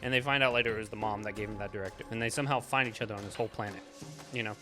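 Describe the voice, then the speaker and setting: Bright-voiced man reviewing a movie. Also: lowish voice, fairly even intonation, very fast, soft background music. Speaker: podcast host. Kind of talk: casual movie review chat.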